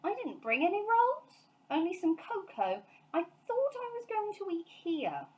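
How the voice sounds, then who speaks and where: A woman's voice: very high-pitched, sing-song, a little slow, a little mellow, dull audio, voice pushed up, exaggerated voice. A woman voicing an earnest, polite young male bear who is easily puzzled, in a children's storybook. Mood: surprised. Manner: earnest, indignant when challenged.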